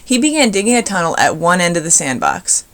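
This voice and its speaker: A woman's voice: on the low side, big pitch swings, fairly quick, fairly bright tone. A woman narrating a children's storybook expressively as its reader.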